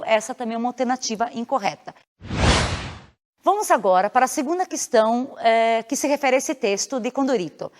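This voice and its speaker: High-voiced Brazilian woman teaching in echoey reverb. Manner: didactic, addressing students directly; switches between Portuguese explanation and reading Spanish aloud. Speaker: Spanish teacher. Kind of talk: explanatory exam-prep lesson. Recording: long hollow reverberant hall echo.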